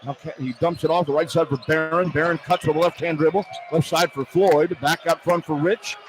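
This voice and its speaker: A man calling live basketball play-by-play on radio from a noisy arena, in excited, clipped phrases that follow the action. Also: a little high, a little fast. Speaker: play-by-play announcer. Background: loud arena noise.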